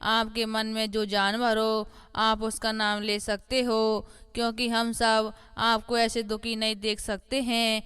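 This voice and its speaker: A woman's voice: fairly high pitch, level tone, takes it slowly, hardly any pauses, fairly bright tone, clear, resonant tone, no echo. Woman as a respectful, pleading cartoon goat. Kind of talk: animated moral story acting.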